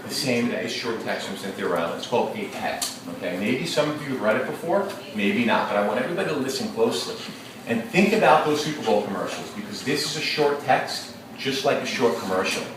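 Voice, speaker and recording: A male teacher leading an interactive read-aloud, questioning his class in a lively, engaging way. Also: medium pitch, brisk. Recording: echoey classroom, distant mic, noisy.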